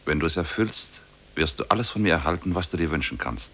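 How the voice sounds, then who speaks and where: A man's voice: low voice, lively intonation, a bit bright, a little hiss or hum. A man playing a solemn, commanding spirit in the shape of an ape, speaking formally in a 1950s radio play. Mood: solemn, bargaining. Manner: measured, solemn, formal storybook speech.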